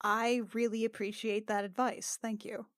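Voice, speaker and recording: A woman with a fairly high voice plays a tabletop game in a dry, close recording. She talks casually and thoughtfully, feeling out her phrasing as she goes. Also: level tone, normal speed, a bit bright, no echo.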